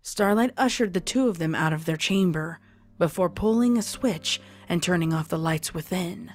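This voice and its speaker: A woman's voice: fairly low pitch, normal speed. A woman narrator reading a scripted story. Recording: music under the voice.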